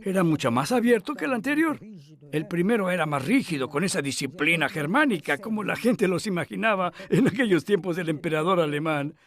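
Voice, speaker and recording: An older man being interviewed, speaking in an unhurried, reflective way with hesitant fillers. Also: high voice, lively intonation.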